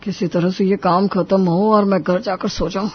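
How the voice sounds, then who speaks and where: A man's voice: high voice, level tone, moderate pace, slightly rough. A man playing a young office worker in an audio story, talking in a colloquial, persuading way on a muffled recording. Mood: weary, apologetic. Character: young office worker, weary husband or advising friend. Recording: muffled, dull lo-fi sound.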